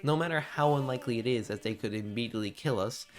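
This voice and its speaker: A man narrating a scripted video essay in an expressive, informal and confiding way, with casual asides. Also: medium pitch, fast, soft background music.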